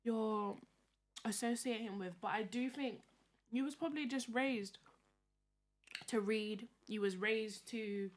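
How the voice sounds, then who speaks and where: A woman's voice: on the high side, slow, long pauses. A young woman chats casually on a podcast and makes her points directly.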